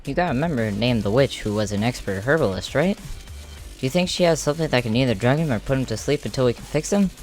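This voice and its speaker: A medium-pitched voice acting in an amateur comic dub. The delivery is expressive and theatrical, with light music running underneath. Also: quick pace.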